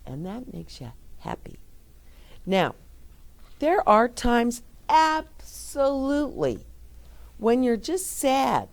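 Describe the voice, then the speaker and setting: A middle-aged woman reading a picture book aloud and chatting gently and encouragingly to young children. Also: a little high, sing-song, slow pace, creaky, pauses often. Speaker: children's storyteller. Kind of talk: read-aloud story time with chat to children.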